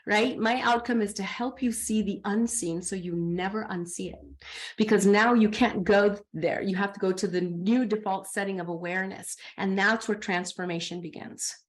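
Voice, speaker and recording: Animated woman coach, lively talk. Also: mid-range voice, moderate pace. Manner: animated, acts out her inner dialogue. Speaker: coach and podcast guest. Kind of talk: conversational podcast interview.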